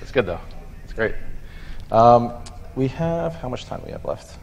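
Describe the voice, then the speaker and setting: A man's voice: mid-range voice, big pitch swings, normal speed, creaky, darkish tone. A young man moderating a live panel casually from a lectern on stage. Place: convention stage before an audience. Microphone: lectern microphone. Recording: reverberant hall, faint noise.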